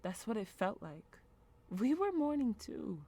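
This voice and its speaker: A woman's voice: on the high side, sing-song, a little slow, warm tone, heavily acted. A woman playing a guarded, concerned old friend in a naturalistic audio drama. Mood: hurt, reproachful.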